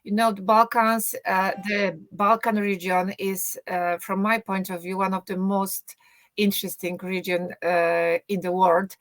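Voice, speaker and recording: A middle-aged woman, a university teacher speaking English as an in a relaxed interview, thinking aloud with many fillers and restarts and a joking tone. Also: mid-range voice, unhurried. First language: Polish. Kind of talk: casual conversational English, non-native.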